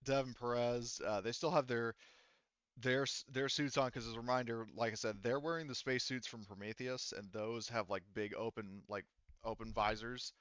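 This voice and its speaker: A man's voice: mid-range voice, steady tone, moderate pace, a bit bright, smooth, dry recording, dull audio. A man running a tabletop game as game master, improvising narration and hesitantly searching for his words.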